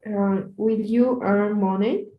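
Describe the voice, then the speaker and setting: Hesitant woman, Spanish native, very slow. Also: mid-range voice, steady tone, roomy sound, lo-fi sound. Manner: hesitant, searching for words. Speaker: student.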